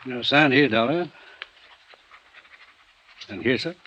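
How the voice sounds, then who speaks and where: A man's voice: mid-range voice, slow pace, bright, forceful tone, a little hiss or hum. A man acting a frank, middle-aged small-town lawyer in a 1950s radio drama. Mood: businesslike. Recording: dull vintage radio recording.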